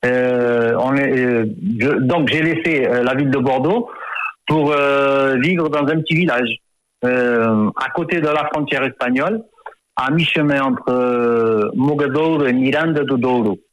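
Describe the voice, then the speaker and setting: A man's voice: medium pitch, measured pace, low-quality recording. A man in his fifties, an author born in France, talking in a radio interview and hesitating often, with many fillers and repeated words. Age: 50s.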